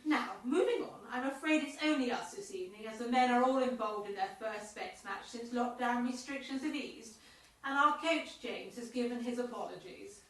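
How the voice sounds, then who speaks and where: A woman's voice: a little high, moderate pace, a bit bright, natural voice. A woman playing a prim, officious, easily scandalised club chairwoman in an amateur radio comedy. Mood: businesslike. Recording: echoey room, distant from the mic.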